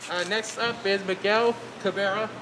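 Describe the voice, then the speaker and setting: Rally emcee, brief intros, high pitch, windy outdoor sound. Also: male voice, fairly even intonation, moderate pace. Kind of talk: introducing speakers. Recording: loud wind noise outdoors.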